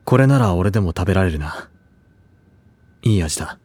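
An actor playing a stoic, reserved teenage schoolboy, speaking calmly and with understatement in an audio drama. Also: male voice, sits low, normal speed, husky, no echo. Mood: calm, subdued. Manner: calm, understated.

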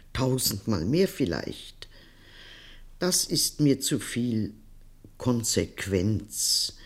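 An actress playing a bitter, outspoken old grandmother in a German radio drama, halting and emphatic, repeating words for weight. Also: a bass voice, a little mellow, heavily acted. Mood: indignant. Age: older.

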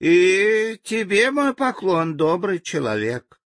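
A man's voice: high-pitched, big pitch swings, takes it slowly, fairly bright tone, dry recording, clean studio sound, voice pushed up, exaggerated voice. A man voicing a timid, naive male native who takes every word literally and speaks meekly. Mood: friendly. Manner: bewildered, meek and literal-minded.

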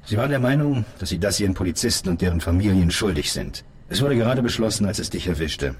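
Radio drama casting: calm colleague, very low voice, quick delivery, standard German, direct and reassuring, faint ambience behind. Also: male voice, a little hiss or hum. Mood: matter-of-fact. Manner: measured wording, reassuring, direct. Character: calm, matter-of-fact male colleague patiently explaining hard news.

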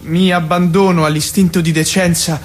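A man's voice: high-pitched, level tone, normal speed, natural voice. An actor playing an anxious, testy young man torn by desire in an audio drama, with lyrical rhyming lines. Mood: fearful, narrating. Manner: lyrical, rhyming delivery with sharp retorts. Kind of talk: theatrical rhymed dialogue in an audio drama.

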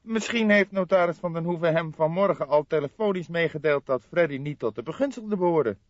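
A man's voice: a little high, fairly quick, no room sound, muffled recording, pitched above the performer's own voice, natural voice. A man voicing an exasperated younger police detective, a questioning sidekick in an audiobook detective story. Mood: shocked. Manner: shocked, sighing, protesting.

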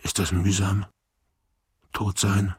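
A man acting in an experimental radio play, reciting poetic fragments as a weary, hushed figure in a gravelly, husky, halting voice. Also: on the low side, completely flat delivery, dry recording, clean studio sound, exaggerated voice. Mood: slow, brooding. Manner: halting, sparse, word-by-word delivery. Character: weary, slow, hushed male voice echoing fragments of poetry.

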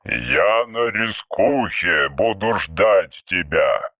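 A man voicing an ancient male robot who speaks slowly in old-fashioned, inverted phrases. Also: sits low, expressive, pushed and bright, no room sound, clean studio sound, pitched below the performer's own voice, heavily acted. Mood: solemn. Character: ancient male robot, slow archaic speech.

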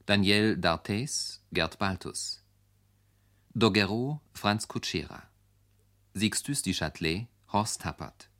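Male radio announcer, very low voice, formal scripted credit reading. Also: fairly bright tone.

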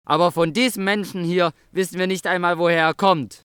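High-voiced, scornful Pharisee. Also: male voice, steady tone, brisk, clean tone, dry recording, studio quality. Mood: scornful anger. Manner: scornful, forceful. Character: angry, scornful male Pharisee. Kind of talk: dramatised scripted audio drama.

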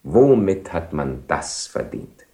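An actor playing a trapped, bitterly resigned husband in a radio play, speaking in a brooding, rhetorical, ironic manner. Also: male voice, sits low, sing-song, slow, mellow, heavily acted. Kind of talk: 1970 radio play acting.

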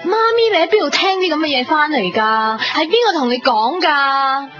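A woman's voice: high voice, very animated, normal speed, fairly bright tone. An actress with a Hong Kong Cantonese accent playing a sensitive, stubborn young daughter in a radio drama, who also narrates her own love story. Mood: upset, defiant. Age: young adult. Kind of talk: radio drama acting with reflective first-person narration. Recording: dull 1990s radio recording under music.

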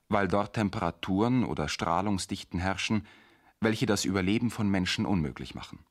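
An actor playing a sober male reader, narrating formally in a measured, factual manner for a radio drama. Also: sits low.

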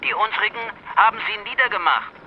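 An actor playing an obedient army officer in a German radio drama, reporting in a clipped, formal, deferential way. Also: male voice, high voice, expressive, fairly quick, highs cut off, slight background noise. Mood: dutiful, nervous.

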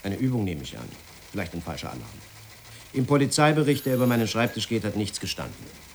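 A rapid, slightly low male voice as a jaded local newspaperman in a radio drama. He is brusque and dismissive, weary of explaining himself, over faint noise. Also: big pitch swings. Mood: exasperated, explaining. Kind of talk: German radio play acting.

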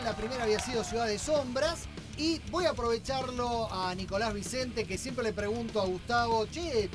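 Male radio host, Argentine accent, very high pitch, colloquial, rambling interview style, music clearly behind. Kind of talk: casual live music interview.

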